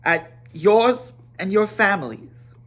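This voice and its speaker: A man voicing a fussy, flustered, polite gentleman hobbit in a storybook reading, excitable and fretful. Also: high voice, slow pace, voice pushed up, full character voice. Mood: flustered politeness.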